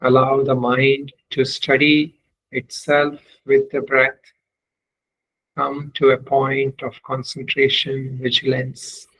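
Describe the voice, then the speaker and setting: Slow, even-toned man guiding meditation. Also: medium pitch, slight background noise, low-quality recording. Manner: measured, deliberate phrases with long pauses. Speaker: meditation teacher, swami. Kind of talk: guided meditation instruction.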